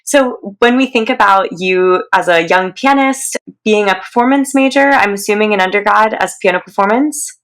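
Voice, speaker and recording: A woman co-hosting a podcast, interviewing a guest in a warm, encouraging way. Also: medium pitch, normal speed, hardly any pauses. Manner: warm, encouraging, reading a formal introduction. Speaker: podcast co-host.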